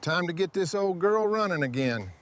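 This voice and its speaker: A man's voice: sits high, expressive, normal speed, a little hiss or hum. A man giving hands-on workshop commentary as a vehicle restorer, in short instructional remarks to helpers.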